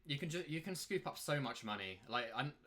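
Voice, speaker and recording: Guy talking thru his poker hands live, bright punchy voice, rambly n emphatic, repeats himself. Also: a little high, sing-song, fairly quick. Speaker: poker player and coach commentating his own play. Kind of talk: casual live-play poker commentary.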